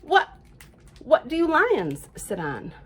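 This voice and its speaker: A woman reading aloud puts on a curious, fretful boy frog from a children's picture book. The pitch sits high and swings dramatically. He pesters with questions and exclaims easily, at an unhurried pace. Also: wildly swooping pitch, fairly bright tone, full character voice. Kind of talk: children's storybook read-aloud.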